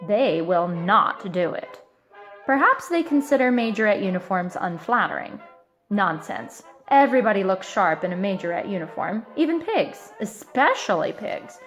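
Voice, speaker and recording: A woman reading a children's picture book aloud in an expressive, emphatic way, stretching out key words. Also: medium pitch, normal speed, faint music behind. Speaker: storybook reader.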